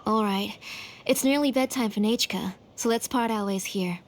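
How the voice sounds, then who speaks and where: A woman voicing a gentle but firm, protective mother in a video game, composed and courteous. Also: fairly high pitch, normal speed, a little hiss or hum, lightly acted.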